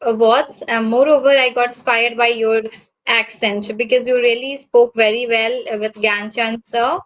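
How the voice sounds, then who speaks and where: A woman interviewing a guest in a casual chat, asking questions in halting phrases with frequent restarts. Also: a little high, steady tone, fairly bright tone, highs cut off, slight background noise. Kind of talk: casual conversation on a call.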